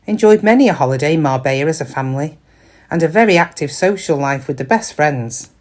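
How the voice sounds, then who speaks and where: An actress playing a middle-aged British shop worker in a confiding, wry, conversational monologue, kind but quietly weary. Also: female voice, sits low, lively intonation, a little fast. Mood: fond, reminiscing. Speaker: actor in a dramatic monologue.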